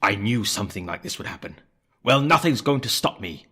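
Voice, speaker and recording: An actor playing a power-hungry villain in an audio drama, theatrical, impatient and menacing. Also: male voice, fairly low pitch, lively intonation, fairly quick, pushed and bright, slightly rough, exaggerated voice. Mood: scheming. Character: scheming, power-hungry male alien villain, the Mastermind. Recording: music under the voice.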